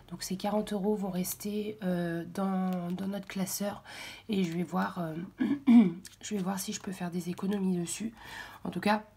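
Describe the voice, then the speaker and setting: Hoarse, half-whispering woman. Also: medium pitch. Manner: soft, half-whispered, hesitant with many fillers. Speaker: budget vlogger. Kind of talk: casual budget walkthrough. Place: at home, early morning.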